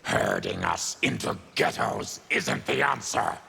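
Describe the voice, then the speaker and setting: Gravelly, menacing mutant warrior. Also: male voice, lowish voice, animated, very noisy, heavily acted. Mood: bitter, proud. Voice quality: gravelly, growling. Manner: menacing, deliberate. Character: fierce diseased mutant warrior with blades, proud, embittered exile. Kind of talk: fighting game character dialogue.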